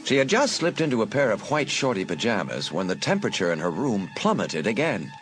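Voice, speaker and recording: Brief: male storyteller, lowish lively voice, measured suspense, music underneath. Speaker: narrator. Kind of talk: scripted audiobook narration. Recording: narration over a music bed.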